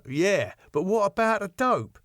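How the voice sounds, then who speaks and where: An anxious, easily led sitcom lad, put on by a man reading the script. The voice is lifted in pitch and sounds worried and naive. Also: sing-song, unhurried, fairly bright tone, no echo, clean studio sound, heavily acted.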